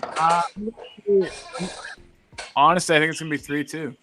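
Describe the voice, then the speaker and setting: A man's voice: on the high side, little pitch movement, normal speed, over music. A man chatting casually on a podcast, hesitant and full of fillers as he thinks aloud over a video call.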